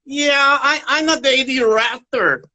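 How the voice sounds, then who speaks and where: A baby dinosaur puppet with a high little voice. It is friendly and eager, chattering in childlike, slightly repetitive phrases as it would on a kids' show. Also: takes it slowly, sharp tone, heavily acted. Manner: childlike, repeats little words, storytelling.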